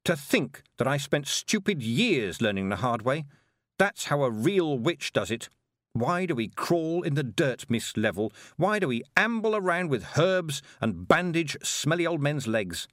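A man's voice: a little high, very animated, a little slow, fairly bright tone, no room sound, studio quality, pitched above the performer's own voice. A man voicing a headstrong young girl witch, impatient and scornful. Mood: defiant.

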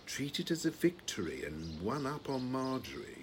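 A man acting in an audio play as a long-suffering, placid retired British husband, older, with a dry, reasoning, gently needling manner. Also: mid-range voice, very animated, normal speed, slight background noise, lightly acted. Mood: exasperated.

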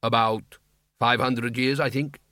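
A friendly, homely dwarf gardener with a lowered, soft voice, voiced by a male narrator. It is recorded dry and close. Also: fairly even intonation, a little slow, no echo. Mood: cheerful.

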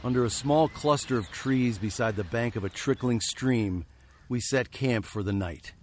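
A man playing a weary, wry nineteenth-century fugitive, telling his tale in a deliberate, literary way for a radio drama. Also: mid-range voice, slight background noise, lightly acted. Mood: grave narrating. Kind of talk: radio drama narration and acting.

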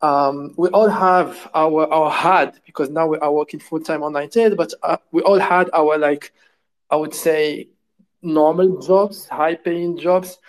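A man's voice: a little high, fairly even intonation, a little slow, poor audio. A man from Morocco, a game studio co-founder, answering interview questions in a rambling way with many fillers and restarts. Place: at home, lives in France.

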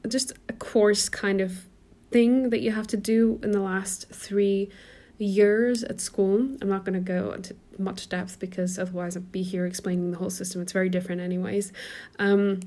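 A woman in her twenties from Germany who grew up in Portugal, speaking with an American-sounding accent. She hosts a solo podcast in a soft, chatty way with many ums, recorded on a phone in a quiet room at home. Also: mid-range voice, flat delivery, normal speed. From Germany, raised in Portugal. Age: 20s. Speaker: podcast host and coach. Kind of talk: casual solo podcast talk.

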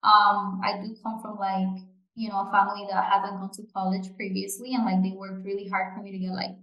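A woman's voice: mid-range voice, fairly bright tone. Chatty young woman in an echoey room. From Canary Islands, Spain. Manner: chatty, many fillers and "you know". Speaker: podcast co-host. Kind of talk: casual chat.

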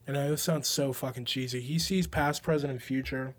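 A man's voice: mid-range voice, steady tone, fairly quick. A young man co-hosting a podcast, chatting casually about music with slangy, informal phrasing and some swearing. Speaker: podcast co-host.

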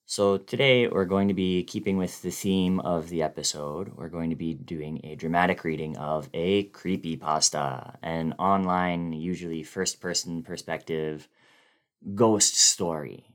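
A man with a very low-pitched voice speaks as a guest reader. He explains things in a casual, conversational style. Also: steady tone, unhurried, clear, resonant tone.

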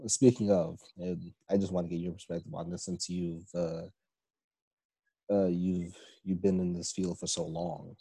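A man's voice: deep voice, level tone, normal speed, creaky, dark tone, breathy edge, no room sound, poor audio. A man hosting an interview, asking questions with hesitant fillers. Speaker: podcast host. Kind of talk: conversational interview.

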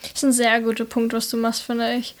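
A young woman from the Stuttgart area, co-hosting a podcast in a relaxed, casual chat and asking questions. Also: fairly high pitch, fairly quick. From Stuttgart area, Germany. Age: young adult. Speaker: podcast co-host.

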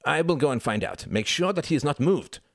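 A man's voice: fairly high pitch, very animated, fairly quick, gravelly, no echo, clean studio sound, voice pushed up, exaggerated voice. Man voicing an urgent, worried German man. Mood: urgent concern. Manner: urgent, concerned. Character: anxious, decisive German man helping a friend.

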